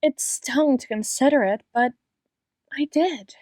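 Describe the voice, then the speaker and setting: Woman acting a possessive, theatrical female doctor, high voice. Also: a little mellow, no room sound, studio quality. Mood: wounded. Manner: slow, deliberate, theatrical, with drawn-out repeated words. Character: possessive, obsessive female doctor, menacingly tender captor. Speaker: audio roleplay performer. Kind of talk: ASMR roleplay, dramatic monologue.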